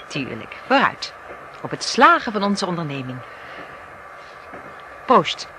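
A confident, scheming woman in a radio drama. Her delivery is lively and coolly friendly, with an assured ease. The old recording has clear noise behind her. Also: fairly low pitch, takes it slowly, heavily acted, low-quality recording. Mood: breezy, assured.

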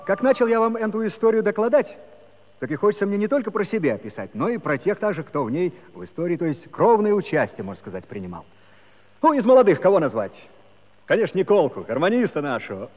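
An actor playing a garrulous old Cossack villager, telling a comic tale with pompous, folksy turns of phrase. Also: male voice, sits high, sing-song, fast, a little mellow. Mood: storytelling. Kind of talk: comic storytelling in a musical radio play. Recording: dull, noisy old 1970s recording.

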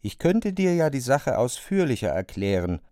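A man narrating a literary radio play, reading the characters' dialogue in a lively, dramatic way. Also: mid-range voice, normal speed, dry recording, clean studio sound.

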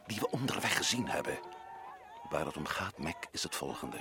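An actor playing a shrewd, commanding male expedition leader in a radio play, who often lowers his voice to a conspiratorial hush. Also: expressive, normal speed. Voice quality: low voice, drops to hushed whispers. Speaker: adventurer in Africa.

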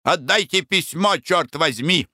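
A man voicing an angry older baronet who shouts and blusters. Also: on the high side, lively intonation, unhurried, no room sound, studio quality, pitched above the performer's own voice, exaggerated voice. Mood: furious.

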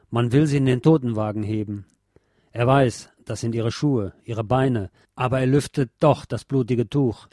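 A man giving a measured, solemn scripted reading of literary prose as narrator, articulating clearly. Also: mid-range voice, darkish tone, no room sound, studio quality. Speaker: narrator and reader.

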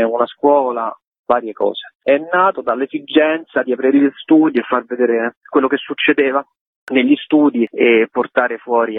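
A man's voice: mid-range voice, slightly rough, no echo. A man chatting informally in a radio interview as an event organizer, in loosely strung phrases. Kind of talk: casual conversational radio interview. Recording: tinny, phone-like radio interview line.

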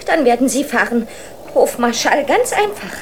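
An imperious royal girl in a children's radio drama. The voice is high and very lively, with grand formal phrasing and small stumbles, over faint background noise. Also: moderate pace. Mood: insistent, grand. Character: imperious royal child, princess of a hard-up family. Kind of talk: German children's radio play acting.

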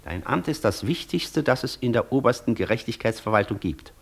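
An actor playing an intense, persuasive professor in a German radio play, declaiming and lecturing insistently with a husky voice. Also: male voice, medium pitch, animated, a little fast. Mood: urgent, provoking. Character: intense, persuasive male professor tempting the Devil. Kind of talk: German radio play acting, long rhetorical speeches.

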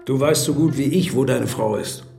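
An actor playing a hostile villager in a radio drama, bitter, scornful and accusing. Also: male voice, medium pitch, moderate pace, vocal fry, reverberant, music in the background, close to an everyday voice.

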